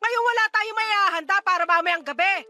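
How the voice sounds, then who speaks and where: A woman's voice: extremely high pitch, fairly quick, bright, forceful tone. An actress playing a harsh, angry mother in a Filipino radio drama, scolding and insulting her child impatiently. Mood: bitter, angry.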